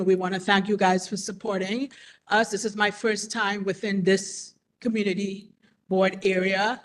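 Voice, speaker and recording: A woman in her forties, a business owner, speaking earnestly and conversationally at a community board meeting. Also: medium pitch. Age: 40s. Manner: earnest, conversational, repeats herself. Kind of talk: public comment at a meeting.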